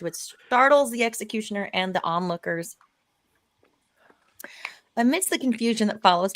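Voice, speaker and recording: Female narrator, higher register, informal online table-read delivery of stage directions. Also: a bit bright. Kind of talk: casual live table read, lively narration.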